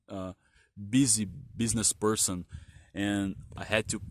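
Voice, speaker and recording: A man whose first language is Portuguese, talking casually about his work history in a halting way with many restarts and fillers. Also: lowish voice, no echo. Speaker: vlogger telling his career story. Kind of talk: casual spoken monologue.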